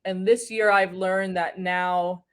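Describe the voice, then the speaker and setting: A woman's voice: mid-range voice, fairly even intonation, slow pace, a bit bright, smooth. A woman from New York City, chatting as an online English tutor in a friendly, easygoing way over a video call. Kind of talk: casual conversation.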